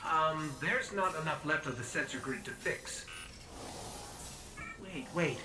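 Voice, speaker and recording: An actor playing a small robot companion in a video game, wry, curious and slightly anxious, with quippy, expressive asides. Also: male voice, fairly high pitch, slow pace, music in the background, low-quality recording. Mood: alert.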